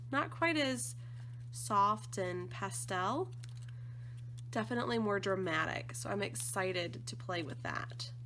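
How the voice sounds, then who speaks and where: Slightly croaky, gushing woman. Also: medium pitch, sharp tone, slight background noise. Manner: gushing, enthusiastic. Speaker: scrapbooking design team member. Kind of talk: casual unboxing chat.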